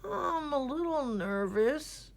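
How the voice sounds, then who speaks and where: Woman as a whiny, slow young boy groundhog. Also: high-pitched, expressive, vocal fry, pitched above the performer's own voice, heavily acted. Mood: worried, whiny.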